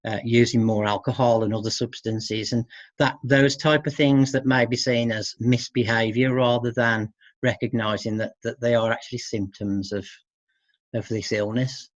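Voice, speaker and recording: A middle-aged man speaking as an expert guest in an informal interview, hesitant and halting, often seeking agreement with tag questions. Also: mid-range voice, steady tone, no echo. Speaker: expert guest who works with men. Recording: dull, muffled lo-fi audio.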